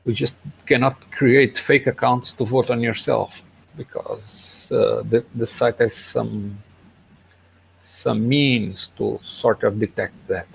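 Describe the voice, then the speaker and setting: A man co-hosting a casual tech podcast over an online call, speaking in a hesitant, halting way. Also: mid-range voice, steady tone, creaky, a little hiss or hum, low-quality recording. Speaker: podcast co-host. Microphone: online video hangout.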